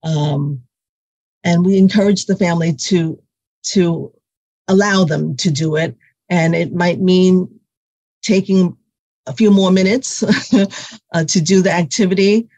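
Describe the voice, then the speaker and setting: A woman physical therapist answering interview questions in a halting way, with many fillers and restarts, whose first language is Cantonese. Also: on the low side, fairly even intonation, a little mellow, no echo, dull audio.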